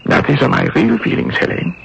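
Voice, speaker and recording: An actor playing an earnest, lovestruck young German soldier in a radio drama, pleading and halting. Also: male voice, a bit bright, a little hiss or hum, lightly acted. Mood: earnest confession. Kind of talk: old-time radio drama acting. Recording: dull lo-fi radio broadcast recording.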